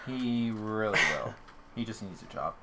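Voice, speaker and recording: A man's voice: fairly low pitch, animated, unhurried, pushed and bright, slight background noise. A young man co-hosting a podcast in casual, conversational banter. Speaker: podcast co-host.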